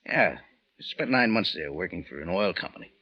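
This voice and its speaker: An actor playing a tough, wry American detective hero in an old radio drama, clipped and unflappable, with an American accent. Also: male voice, deep voice, expressive, normal speed, husky, heavily acted. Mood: casual. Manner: hard-boiled, clipped, wry. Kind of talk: old-time radio detective narration and dialogue. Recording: dull old radio recording with music.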